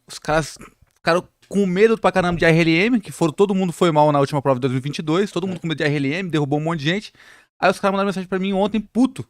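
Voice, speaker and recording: Brazilian man, podcast host; quick, slangy, upbeat pace, dry studio sound. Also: a little high, dry recording. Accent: Brazilian Portuguese. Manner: quick, chatty interjections, informal slang. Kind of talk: casual podcast conversation.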